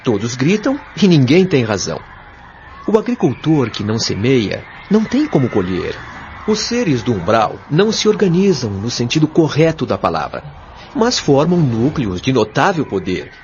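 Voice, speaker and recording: A man's voice: medium pitch, darkish tone, a little hiss or hum, natural voice. A Brazilian man playing a patient, authoritative spirit guide in an audio drama, explaining things in a solemn, articulate, didactic way. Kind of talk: audio drama acting, expository dialogue. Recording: dull lo-fi audio over music.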